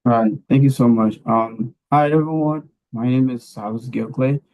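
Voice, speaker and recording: A man from Liberia, speaking as a panelist about his career path in a halting way, with many fillers and restarts. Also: mid-range voice, lo-fi sound.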